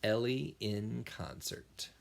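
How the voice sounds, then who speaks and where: A man reading a picture book aloud to young children as their music teacher, expressively and with dramatic pauses. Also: lowish voice, fairly even intonation, gravelly.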